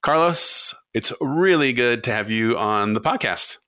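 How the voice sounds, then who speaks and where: A man's voice: mid-range voice, expressive, unhurried, pushed and bright, dry recording, studio quality. A man hosting a podcast, introducing his guest and announcements in an upbeat, conversational way. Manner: upbeat promotional, conversational with fillers. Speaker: podcast host.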